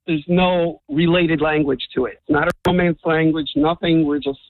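A man's voice: fairly high pitch, steady tone, normal speed, no room sound. Man on a tinny phone line. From United States. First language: Hungarian. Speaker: radio caller. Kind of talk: casual phone chat.

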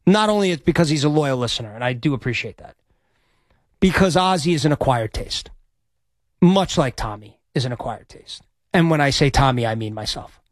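A man hosting sports talk radio in a studio, with a high-pitched voice and an opinionated, cocky, braggadocious way of talking. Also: animated, brisk, no echo.

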